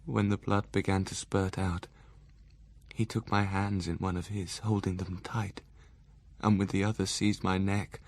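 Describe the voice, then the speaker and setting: A man's voice: sits low, level tone, unhurried, darkish tone, no room sound. A man narrating a gothic story in a dramatic style.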